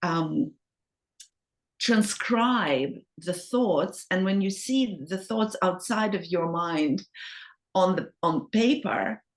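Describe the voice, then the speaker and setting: A woman whose first language is Russian, a guest telling a reflective personal story, thoughtful and unhurried, often correcting herself mid-phrase. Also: on the low side. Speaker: interview guest.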